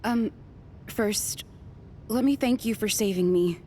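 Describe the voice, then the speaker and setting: Woman acting a hesitant, guilt-ridden researcher, medium pitch. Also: slow pace, warm tone, a little hiss or hum, close to an everyday voice. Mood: grateful, shaken. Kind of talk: English video game cutscene voice acting.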